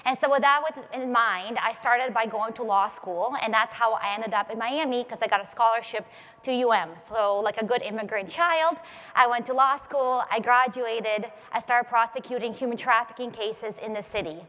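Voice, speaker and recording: Higher-voiced woman pitching in a reverberant hall. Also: moderate pace, bright, forceful tone. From from Ukraine, grew up in Israel. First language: not English. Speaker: startup founder giving a pitch. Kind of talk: conference pitch with personal storytelling.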